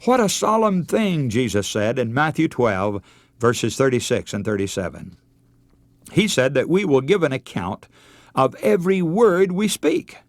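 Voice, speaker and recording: A man's voice: mid-range voice, animated, measured pace, no echo. An older man preaching a radio sermon, telling stories in an earnest way and calling his listeners friends.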